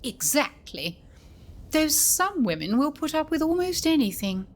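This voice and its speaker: Woman acting a high-voiced, earnest governess. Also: big pitch swings, measured pace. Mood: indignant, anxious. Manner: polite, earnest. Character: prim, earnest young female governess, anxious and proper. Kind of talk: audio drama acting.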